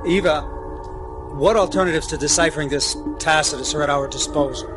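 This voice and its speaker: A man's voice: on the high side, a little fast, over music, natural voice. Man playing a stern army general. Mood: stern, measured. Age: middle-aged. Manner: clipped, authoritative. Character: stern, commanding middle-aged male army general. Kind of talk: video game cutscene acting.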